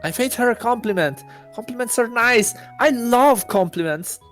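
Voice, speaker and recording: A man's voice: very high-pitched, expressive, normal speed, a bit bright, faint music behind, pitched above the performer's own voice. A man voicing a smug self-proclaimed god posing as an awkward teenage student, pompous and theatrical, for a visual novel. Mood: awkward, boastful.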